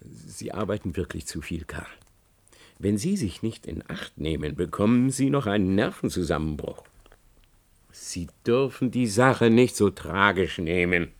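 An actor playing a condescending, defensive university professor in a German radio play, patronising and quick to take offence. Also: male voice, low-pitched, lively intonation. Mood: condescending, uneasy. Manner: patronising, indignant.

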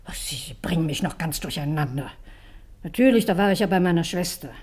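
An actress playing a flustered, defensive woman under questioning in a German radio crime drama, with a halting manner. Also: fairly low pitch, animated, a little mellow, breathy edge.

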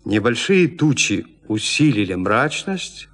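A man narrating classic literary prose as a storyteller, expressively and with deliberate pauses between phrases. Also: mid-range voice, sing-song, fairly bright tone.

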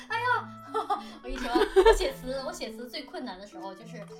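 A woman's voice: sits high, sing-song, normal speed. Woman, swooping intonation, giggly, chatty Q&A, somewhat far from the mic. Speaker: audio drama planner, lyricist and songwriter. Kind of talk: casual chat, answering listener questions. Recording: distant mic at times, music behind. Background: music.

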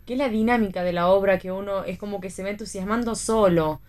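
A woman's voice: medium pitch, quick pace, a little mellow. A woman with an Argentine accent in a casual radio discussion about theater, thinking aloud, hedging and rephrasing as she goes. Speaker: theater panelist.

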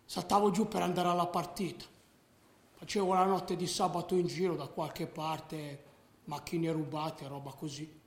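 A restless young tearaway played by a man confesses without regret in a radio play. His voice is high with a gravelly creak, colloquial and matter-of-fact, in an echoey room. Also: flat delivery, normal speed, close to an everyday voice. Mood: matter-of-fact bravado. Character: restless delinquent young male football fan, unrepentant. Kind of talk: radio drama confessional monologue.

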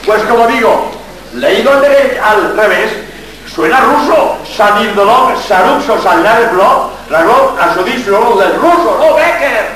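An older man, a Jesuit priest from Spain, gives a lively public lecture full of jokes and rhetorical questions. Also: high voice, measured pace, over a noisy crowd, very noisy. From Spain, born in Barcelona. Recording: very echoey hall, mic far off.

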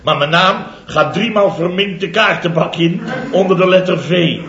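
Indignant, rambling middle-aged boss; roomy, muffled vintage radio with a live crowd. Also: male voice, high-pitched, unhurried. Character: blustering, easily embarrassed male firm owner. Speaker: boss of a building contractor firm. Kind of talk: radio comedy sketch. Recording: lo-fi old radio with audience laughter.

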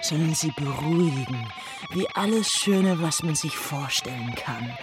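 Slow, dreamy woman over guitar. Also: low-pitched, expressive. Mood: dreamy, weary. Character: weary person soothing themself toward dreamless sleep. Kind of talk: slow, dreamy radio play monologue. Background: plucked guitar music.

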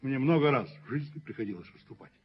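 A man acting a genteel middle-aged father in a radio play. He has a mid-pitched voice and speaks with solemn, persuasive earnestness, heard on a dull vintage recording. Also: lively intonation, fast talker, natural voice. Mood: earnest, persuading. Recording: muffled 1970s radio drama recording.

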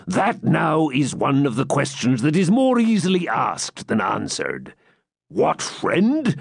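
A man voicing a boisterous, blustering friar in an audiobook, with booming, drawn-out archaic speech. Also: huge pitch swings, gravelly, husky, no room sound, studio quality, exaggerated voice. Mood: blustering, evasive. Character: boisterous, blustering hermit friar, pious fraud and brawler. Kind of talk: audiobook character voice.

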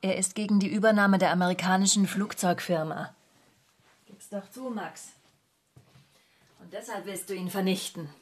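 A woman acting a sharp, ambitious young journalist in a German radio drama, cool, self-assured and challenging. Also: mid-range voice, fairly even intonation, moderate pace, pauses often, natural voice. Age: young adult.

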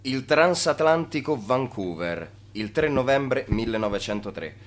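An actor playing a grim narrator who lists details in clipped phrases in a theatrical radio drama. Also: male voice, medium pitch, expressive, measured pace, close to an everyday voice. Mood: factual, clipped. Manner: clipped, enumerating. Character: grim male narrator, listing harsh details relentlessly.

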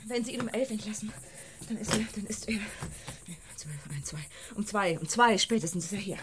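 A woman's voice: medium pitch, brisk, very noisy, natural voice. An actress playing a harried middle-aged wife who scolds and hurries her family out in a radio play. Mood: hurried.

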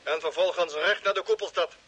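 A man acting a brisk, commanding space fleet leader in a vintage Dutch radio play, on a slightly noisy old recording. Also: high voice, lo-fi sound, lightly acted. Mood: urgent, commanding.

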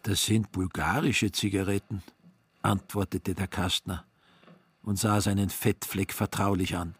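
A man narrating a literary radio play with dry irony in a slightly husky voice. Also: low voice, little pitch movement, a little slow, exaggerated voice. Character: dry, ironic male storyteller of a literary tale.

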